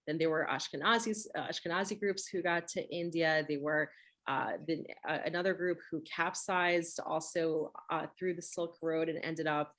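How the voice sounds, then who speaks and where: A woman's voice: low-pitched, fairly even intonation, moderate pace, a bit bright. A woman whose first language is Russian, chatting casually in a webinar over a video call and tagging her points with "right?" and "you know". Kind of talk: webinar conversation.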